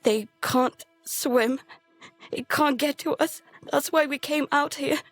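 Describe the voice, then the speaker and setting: Casting: scared girl narrator for horror audio drama, higher voice, halting, fearful, gravelly fry at the edges. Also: dry recording, faint music behind. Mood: panicked. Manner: halting, fragmented, hushed dread breaking into pleading. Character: terrified girl narrating survival of an infected outbreak.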